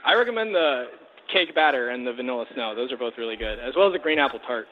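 A man's voice: mid-range voice, expressive, fast talker. Young american guy on a tinny phone line, shop worker, polite n a bit hesitant, noisy behind him. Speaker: frozen yogurt shop employee. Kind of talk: customer service phone talk.

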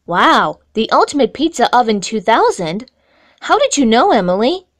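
A woman's voice: on the high side, very animated, normal speed. A woman voicing a concerned, easily amazed girl in a kids' cartoon.

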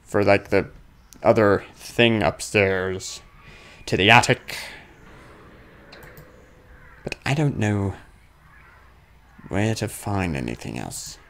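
A male gamer thinks aloud through a horror game in a low, creaky voice. He talks slowly and grumbles under his breath, then breaks out in sudden exclamations. The delivery is casual and unscripted. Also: lively intonation, fairly bright tone. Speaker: let's play gamer.

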